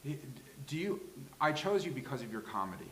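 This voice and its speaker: A man on a casual live panel, asking questions in a halting, polite, inquisitive way. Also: medium pitch, sing-song, fairly bright tone. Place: live venue with a small studio audience. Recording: echoey room with hiss.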